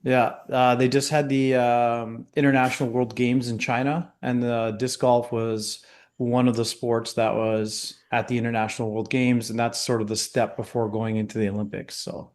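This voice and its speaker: A Canadian man guesting on a podcast over a video call, talking in a relaxed, rambling way with frequent fillers. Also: medium pitch, moderate pace. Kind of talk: casual interview.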